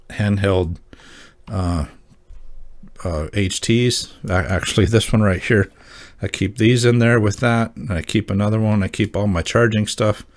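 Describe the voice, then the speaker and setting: A man hosting a hobby gear video, talking casually and off the cuff in a halting, folksy, informal way. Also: low-pitched. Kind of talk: casual show-and-tell talk.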